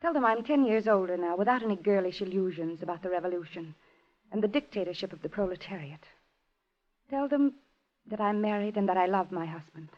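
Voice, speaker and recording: A woman's voice: medium pitch, a little fast, lightly acted. An actress in a 1950s radio drama playing a refined, guarded married lady, plaintive and formal, with an old-fashioned dignified inflection. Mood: defiant. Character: refined, guarded married lady, sensitive former Communist, proud. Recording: muffled lo-fi old radio recording.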